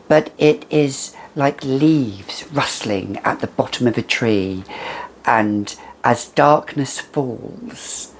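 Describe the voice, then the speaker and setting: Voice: mid-range voice, takes it slowly, a little hiss or hum. A perfume reviewer chatting casually and emphatically to camera, with a whimsical, conversational manner.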